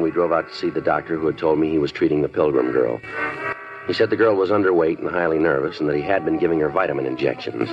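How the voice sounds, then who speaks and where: An actor playing a calm male police detective who narrates and questions suspects in a clipped, matter-of-fact way, in a 1950s radio crime drama. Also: a bass voice. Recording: dull lo-fi 1950s radio recording with music.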